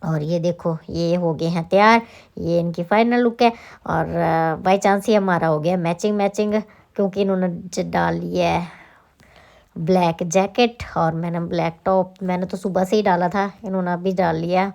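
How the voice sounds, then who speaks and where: A woman's voice: fairly low pitch, steady tone, moderate pace, creaky, a little mellow. A woman who runs a salon, talking casually through her day with a slightly sore throat, chatty and rambling. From Himachal Pradesh, India. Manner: chatty, rambling, self-correcting. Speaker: salon owner and vlogger. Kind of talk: casual vlog narration.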